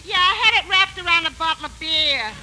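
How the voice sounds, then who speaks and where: A woman's voice: very high voice, fairly quick. A woman playing a brash, dim, devoted young fan in a wisecracking 1940s radio comedy. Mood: brash, earnest. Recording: noisy 1940s radio broadcast recording.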